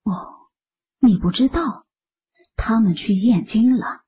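A woman's voice: a little high, slow, slightly rough, exaggerated voice. A woman voicing a chatty, helpful middle-aged village woman in an audio drama, slipping into half-whispers. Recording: muffled phone-like audio.

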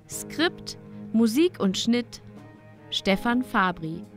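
Woman, credits announcer, scripted reading, slow pace, middle pitch, musical backing.

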